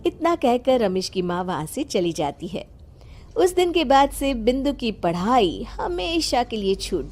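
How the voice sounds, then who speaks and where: High-pitched woman narrating a family story. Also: mellow. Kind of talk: scripted story narration for an animated family drama.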